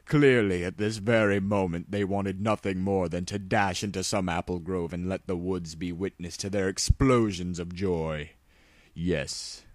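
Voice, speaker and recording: Low-voiced man reading a story, wry. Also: steady tone, unhurried, dry recording. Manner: mock-dramatic, wry. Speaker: narrator. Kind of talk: comic dramatic story reading with amused asides.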